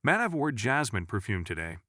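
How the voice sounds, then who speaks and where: A man's voice: fairly low pitch, very animated, brisk, bright, forceful tone, no room sound, clean studio sound. A man voicing a suspicious, insistent woman friend in a crime story.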